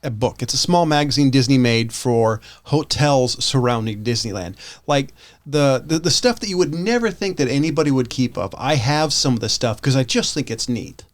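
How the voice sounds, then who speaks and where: Man, podcast host, casual conversation, mid-pitched voice. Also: animated, normal speed, hardly any pauses.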